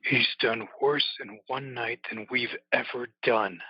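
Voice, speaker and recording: A man playing a weary, defiant older biker in an audio drama, gruff, curt and sardonic. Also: mid-range voice, steady tone, unhurried, dry recording, muffled recording. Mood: grim.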